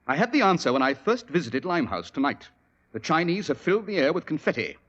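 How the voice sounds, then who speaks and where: An actor playing a shrewd, commanding male detective in 1940s radio drama style, with a crisp, authoritative delivery. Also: on the high side, sing-song, fast talker, fairly bright tone, muffled recording. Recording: old radio broadcast with faint hiss.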